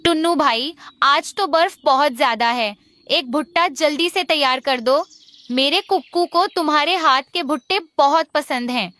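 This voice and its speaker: A woman's voice: sits high, moderate pace, pushed and bright. A woman voicing a kind, reassuring mother bird in a cartoon story. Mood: friendly. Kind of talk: Hindi cartoon story dialogue.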